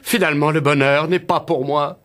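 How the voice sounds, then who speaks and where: An actor playing a fussy, stubborn young romantic prince in a French cartoon, earnest and picky. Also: male voice, fairly high pitch, fairly even intonation, normal speed, noisy background, natural voice. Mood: dejected.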